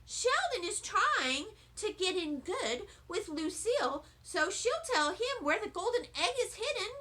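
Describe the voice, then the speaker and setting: An indignant little schoolgirl from a storybook, voiced by a woman, competitive and scolding. She speaks high and bright with firm, emphatic stress. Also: very animated, unhurried, exaggerated voice. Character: indignant, competitive first-grade girl May. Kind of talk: children's storybook read-aloud.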